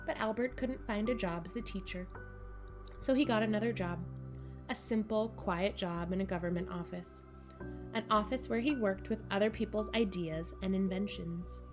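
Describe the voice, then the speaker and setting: A woman narrating a children's picture book in measured, deliberate phrases with dramatic pauses. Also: mid-range voice, music in the background. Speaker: storybook reader for children.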